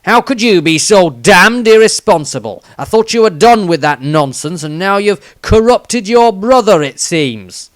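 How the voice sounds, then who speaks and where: A man's voice: sits high, lively intonation, unhurried, few pauses, no room sound, studio quality, voice pushed up. A man voicing an angry old halfling father who scolds and commands in a fantasy story reading. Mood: angry scolding.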